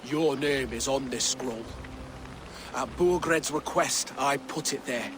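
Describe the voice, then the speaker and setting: A man's voice: medium pitch, slow pace, background noise, close to an everyday voice. An actor playing a proud, fatalistic thane in a video game, solemn and defiant in his delivery. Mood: solemn, urgent. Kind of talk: dramatic video game acting. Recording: dramatic music behind the voice.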